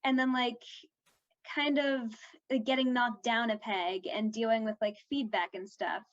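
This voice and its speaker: A woman's voice: a little high, fairly even intonation, unhurried, muffled recording. A fourteen-year-old girl chatting casually as an interviewed young actress, her talk peppered with "like".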